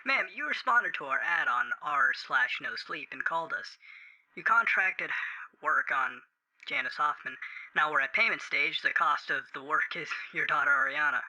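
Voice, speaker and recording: Man playing creepy inhuman call-center operator, creepypasta drama, phone filter, highish, very sharp, scripted politeness, coldly cheerful. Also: expressive, normal speed, rough recording. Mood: menacing cheer. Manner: scripted, formal, coldly courteous customer-service patter.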